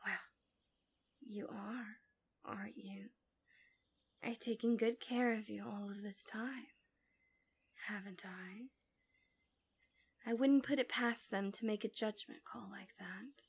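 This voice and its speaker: A woman playing a smug, possessive female villain in an ASMR fantasy roleplay, speaking softly and coaxingly with insinuating formal wording, often dropping to a whisper. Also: mid-range voice, fairly even intonation, takes it slowly, long pauses, slightly rough.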